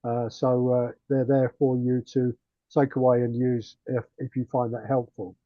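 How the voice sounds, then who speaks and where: A man hosting an online radio club meeting, speaking haltingly with many fillers. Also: mid-range voice, flat delivery, dry recording, highs cut off. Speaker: club host.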